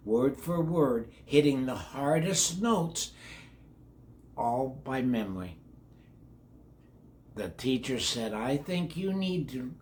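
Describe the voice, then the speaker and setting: Older man, children's read-along, folksy grandfatherly manner, rather high-pitched, very slow, faint room noise behind. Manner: grandfatherly, folksy, halting delivery addressed to kids. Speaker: storyteller host reading to children.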